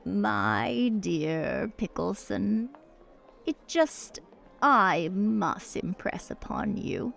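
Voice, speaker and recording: Woman as a slow, creaky old noblewoman. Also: mid-range voice, lively intonation, fairly bright tone, faint music behind, heavily acted. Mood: insistent, flirtatious. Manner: drawn-out, imperious, coaxing. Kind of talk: improvised tabletop roleplay.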